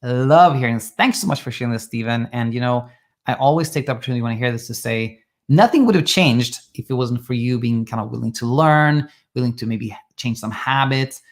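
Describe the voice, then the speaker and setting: Gravelly-voiced Swedish-raised man coaching. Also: medium pitch, brisk. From Sweden. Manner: calm, reassuring, thinks aloud with restarts. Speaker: sleep coach. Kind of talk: live Q&A advice talk.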